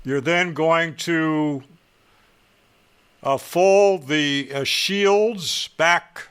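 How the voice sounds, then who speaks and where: A man narrates a how-to as an opinionated hobbyist. His voice sits a little high and has a bright, forceful edge. He takes his time over each phrase, landing points emphatically with a dry, sarcastic streak. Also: extremely slow, clean tone. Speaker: ham radio hobbyist presenting a how-to.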